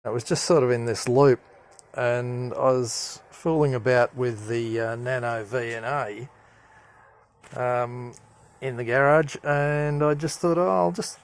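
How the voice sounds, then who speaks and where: A man with a medium-pitched voice narrates a casual, hands-on hobby demonstration. He talks in a halting way, thinking aloud as he goes. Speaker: hobbyist radio presenter.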